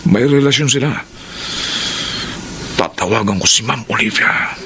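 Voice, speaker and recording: An actor playing a jealous, stubbornly suspicious office worker in a drama. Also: male voice, medium pitch, normal speed, bright, forceful tone. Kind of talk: Filipino radio-style drama.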